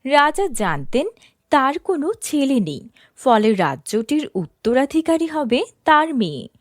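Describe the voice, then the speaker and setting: High-pitched, lively woman storyteller. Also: no echo, clean studio sound. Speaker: storyteller narrator. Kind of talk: scripted fairy-tale narration.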